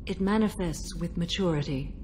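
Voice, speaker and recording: Woman acting a low-voiced, solemn ancient alien warrior. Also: darkish tone, slight background noise, natural voice. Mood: solemn, stern. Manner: measured, formal, solemn. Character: stoic centuries-old female alien warrior sworn to a code. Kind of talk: video game dialogue acting.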